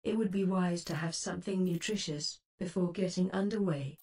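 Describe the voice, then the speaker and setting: A woman's voice: fairly low pitch, darkish tone, lightly acted. A woman voicing a composed spaceship computer assistant in a game, speaking formally and precisely in scripted advisory lines. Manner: formal, measured, precise phrasing. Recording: slightly distant, noisy game-stream capture.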